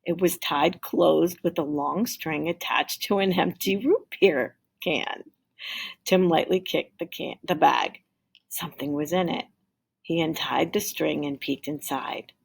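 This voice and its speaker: A woman reads a children's story aloud in a low voice with a gravelly, husky texture. Her storytelling is deliberate and emphatic, as a teacher reads to a class. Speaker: teacher reading a picture book aloud. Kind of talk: expressive storybook read-aloud.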